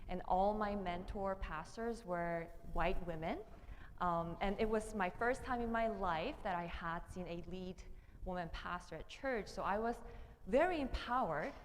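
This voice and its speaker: A woman who grew up in Korea talks through her story on a panel, pausing with ums and restarting phrases. Her voice sits in a middle range and carries a long reverb from a large hall. A faint hiss runs under the microphone. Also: mid-range voice. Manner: thoughtful, with frequent ums and restarts. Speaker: panelist, ordained minister. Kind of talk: personal reflection on a panel. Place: seminary hall with audience.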